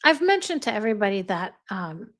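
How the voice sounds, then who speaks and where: A woman's voice: medium pitch, very animated, brisk. An American woman hosting a casual livestream, reading viewer comments aloud and laughing often as she chats. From United States. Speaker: livestream host.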